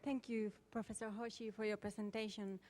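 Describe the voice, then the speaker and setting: A woman's voice: mid-range voice, flat delivery, fairly quick, darkish tone. A woman chairing a symposium panel, thanking one speaker and introducing the next in an orderly way.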